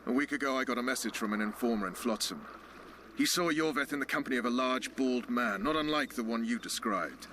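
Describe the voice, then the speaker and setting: An actor playing a gruff, blunt military commander in a fantasy video game. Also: male voice, medium pitch, expressive, brisk, bright, forceful tone, a little hiss or hum. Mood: businesslike. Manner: blunt, emphatic. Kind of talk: dramatic game dialogue.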